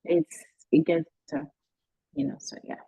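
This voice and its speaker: A young woman student answering questions on a video call, chatty and lively, full of "like" and "you know". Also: sits low, normal speed, vocal fry, breathy edge, no room sound. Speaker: current MBA student panelist. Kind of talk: casual spoken answer. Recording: dull lo-fi video-call audio.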